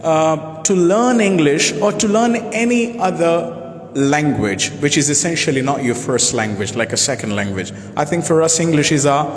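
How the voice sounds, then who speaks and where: A man's voice: fairly high pitch, lively intonation, moderate pace. Formal Pakistani-born male teacher, echoey room. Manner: formal, many fillers like "you know", elaborate vocabulary. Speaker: teacher and session host. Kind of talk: live video discussion.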